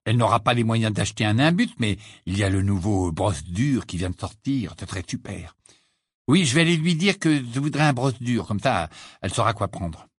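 A man's voice: fairly low pitch, animated, a little fast, breathy edge, no echo, studio quality. A man reading an audiobook voices an eager, sometimes grumbling teenage boy wizard. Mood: cheerful.

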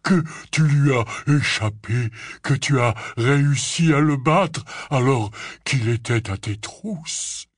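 A man voicing a gruff, protective giant of a groundskeeper who growls his words slowly and heavily in an audiobook. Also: mid-range voice, no echo, clean studio sound, pitched above the performer's own voice. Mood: gruff, insistent. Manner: growling, slow and heavy. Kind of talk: audiobook character reading.

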